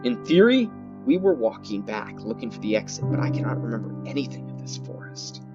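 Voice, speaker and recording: A man reading horror game text aloud in a theatrical, halting way, repeating words and adding his own comments. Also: medium pitch, wildly swooping pitch, creaky. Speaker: gaming video host. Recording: dull lo-fi sound with loud music. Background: loud guitar music.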